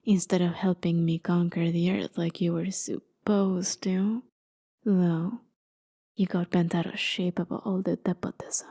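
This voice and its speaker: A woman playing a haughty, jaded immortal warrior in an ASMR roleplay, softly spoken and often near a whisper, with sardonic, conversational storytelling. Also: fairly low pitch, level tone, unhurried. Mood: wry, reminiscing. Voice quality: soft, breathy, often dropping to a near whisper. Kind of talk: ASMR roleplay, dramatic monologue.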